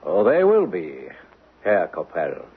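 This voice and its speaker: An actor playing a shrewd, suspicious American consul in an old-time radio drama, probing and wry. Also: male voice, low voice, huge pitch swings, slow, creaky, over music, full character voice. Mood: assured. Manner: probing, matter-of-fact, wry. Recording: muffled old radio recording.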